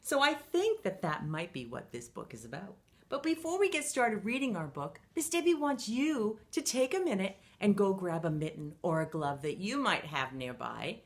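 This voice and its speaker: A woman's voice: mid-range voice, very animated, normal speed, hardly any pauses. A middle-aged woman reading a picture book aloud to young children in a playful, sing-song manner. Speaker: children's storytime reader.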